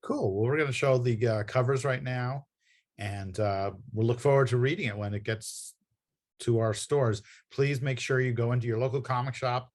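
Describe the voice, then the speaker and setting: Man, mid-range voice, affable, chatty, casual interview host. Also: medium pitch. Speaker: interview host for a comics publisher. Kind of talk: casual promotional video interview.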